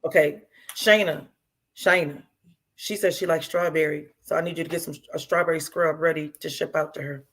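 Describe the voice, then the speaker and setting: A woman's voice: low-pitched, fairly even intonation, a little fast, fairly bright tone. A woman in her fifties, talking casually as a guest and repeating herself for emphasis. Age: 50s. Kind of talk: casual chat.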